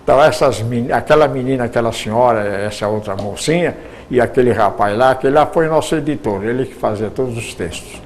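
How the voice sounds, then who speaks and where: A man's voice: mid-range voice, a little fast, a little hiss or hum. An older man, recalling his years in radio drama in an interview, often stumbling and repeating words. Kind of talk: reminiscing interview answers.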